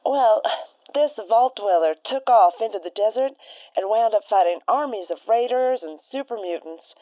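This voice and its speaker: A woman's voice: fairly high pitch, lively intonation, normal speed, no room sound, dull audio, lightly acted. A woman playing a folksy, friendly radio co-host in an audio drama, with homespun phrasing. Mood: admiring.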